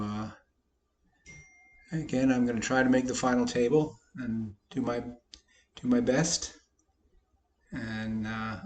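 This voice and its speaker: Slightly hoarse guy at his computer playing online poker, folksy and joking at himself, mid pitched. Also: a little mellow, a little hiss or hum. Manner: folksy, self-deprecating, talks through each hand. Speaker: poker vlogger. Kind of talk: casual commentary over online poker play.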